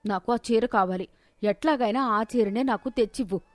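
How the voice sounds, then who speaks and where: A proud and jealous rich housewife from a moral storybook, as a woman storyteller plays her. Her high voice scolds, complains and insists stubbornly on having her way. The sound is dry. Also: moderate pace, no room sound, lightly acted. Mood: indignant, demanding.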